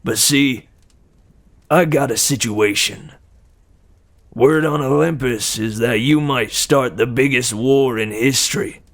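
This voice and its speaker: A brash god of war, as a male reader voices him taunts in a gruff, unhurried voice. He sounds menacing and sure of himself, in a pitch close to the reader's own. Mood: taunting.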